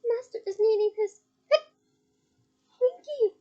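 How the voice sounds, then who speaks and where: A woman voicing a tearful, tipsy female house-elf servant who is fiercely devoted, with a squeaky, teary voice. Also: slow pace. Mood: distraught. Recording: slightly dull lo-fi recording.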